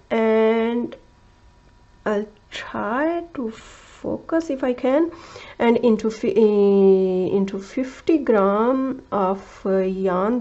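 A woman who speaks English as a second language gives a crochet tutorial, talking slowly and carefully, with many fillers and restarts, and counting stitches aloud. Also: a little high, darkish tone, muffled recording. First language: not English. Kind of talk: step-by-step craft tutorial.

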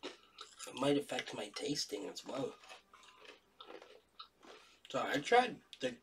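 A man's voice: medium pitch, slow pace, far from the mic, background noise. A young man with a cold, nasal and a bit stuffy, chatting jokily through a taste test at home. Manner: jokey, animated, laughs often. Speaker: co-host. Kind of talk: casual taste-test chat. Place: dining room at home.

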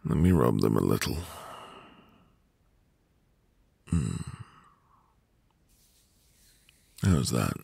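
A man playing a tender, devoted newlywed husband in a soft, intimate, romantic ASMR roleplay with lingering pauses. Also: a bass voice, very animated, vocal fry, husky, no room sound, exaggerated voice. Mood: adoring, caring. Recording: music behind the voice. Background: music.

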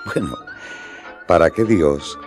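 Deep-voiced disciple narrator. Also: male voice, wildly swooping pitch, takes it slowly, faint music behind, heavily acted. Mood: reflective. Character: reminiscing male disciple narrating the tale. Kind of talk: scripted narration in radio drama.

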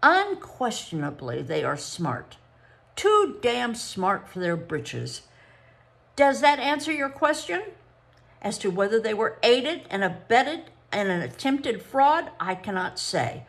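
A woman voicing an officious woman psychologist who speaks briskly and grows shrill and spiteful, higher and louder than her own reading voice. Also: gravelly, full character voice. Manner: brisk, schoolmarmish, turns shrill when excited.

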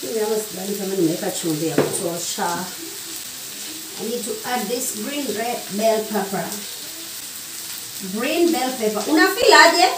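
A woman's voice: a little high, animated, moderate pace, long pauses, noisy background. A young Kenyan woman chatting casually and teasing while she cooks at home, mixing slang and English. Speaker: vlogger. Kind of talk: casual chat while cooking. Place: small home kitchen, indoors. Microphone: camera on the counter, a few feet away. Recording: echoey kitchen, far mic, loud sizzling. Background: frying and sizzling pans.

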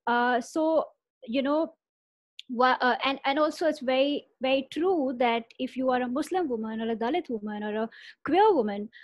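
A woman's voice: sits high, moderate pace, dry recording, lo-fi sound. A young woman on a webinar panel, talking earnestly with many fillers, on an earphone mic from her bedroom. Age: young adult. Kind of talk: webinar discussion. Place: bedroom at home. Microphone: earphone mic on a video call.

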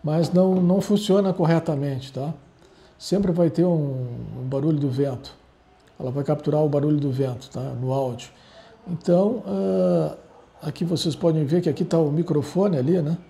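A man's voice: fairly high pitch, normal speed, vocal fry, dark tone. A man hosting a casual how-to tutorial, rambling with constant filler tags, filmed indoors at a table. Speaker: channel host. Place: indoors at a table, filming objects and a laptop screen.

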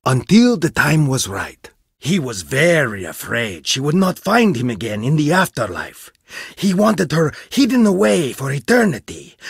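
A haughty game archaeologist who lectures everyone as if they were beneath him. His delivery is theatrical and sweeps up and down in pitch, with a husky, breathy voice. The sound is dry and close, straight from a studio booth. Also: no room sound, exaggerated voice. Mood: lecturing. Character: arrogant egomaniac male archaeologist, middle-aged. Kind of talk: video game voice acting.

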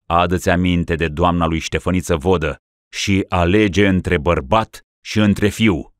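A man's voice: deep voice, fairly even intonation, dry recording, clean studio sound, natural voice. A man playing a cold ruthless boyar. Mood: urging. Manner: cold, deliberate.

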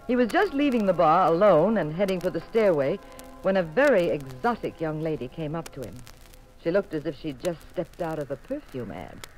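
An actress playing a wry, brisk detective's wife in a 1950s radio mystery. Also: female voice, low voice, lively intonation, over loud music, low-quality recording. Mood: narrating. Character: Jean Abbott, sharp-witted detective's wife and storyteller. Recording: old radio recording.

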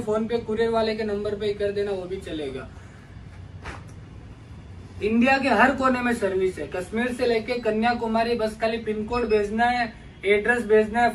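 A man in his thirties pitches jeans in a large clothing store, his voice set unusually high for a man. He talks in a lively, pushy salesman's patter, calling out to viewers like brothers. The camera sits a little away from him, leaving a faint hum of shop noise behind his voice. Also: distant mic, low-quality recording. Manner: energetic hard-sell patter, calls viewers brother. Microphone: camera mic at a distance. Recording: voice from off mic with faint room noise.

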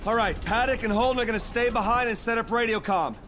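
High, commanding squad leader. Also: male voice, fast, a bit bright, slight background noise. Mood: urgent, commanding. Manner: clipped, commanding. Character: commanding male squad leader rallying soldiers. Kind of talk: dramatic game cutscene acting.

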